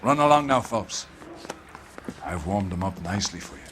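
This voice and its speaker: An actor playing an overbearing, smug older police captain in a game drama, pompous, theatrical and condescending. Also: male voice, deep voice, expressive, normal speed, background noise. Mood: smugly jovial. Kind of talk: video game drama acting.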